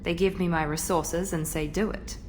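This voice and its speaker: A woman's voice: fairly low pitch, steady tone, moderate pace, a little mellow, slight background noise, natural voice, low-quality recording. A woman playing a proud, cool elite operative in a video game, frank and composed. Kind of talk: video game character acting.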